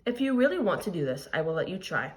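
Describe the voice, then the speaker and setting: A woman's voice: on the low side, big pitch swings, fast talker. A woman reading an earnest, encouraging sushi-chef father. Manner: instructive, earnest. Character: demanding but encouraging Japanese immigrant father, a sushi chef.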